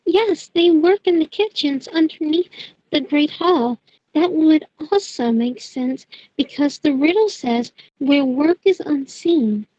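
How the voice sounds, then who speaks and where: Woman playing a high-voiced sweet teenage witch, dull mic. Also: takes it slowly, mellow, no echo. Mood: helpful. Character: kind, sweet, principled teenage witch girl. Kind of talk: fan audio drama acting.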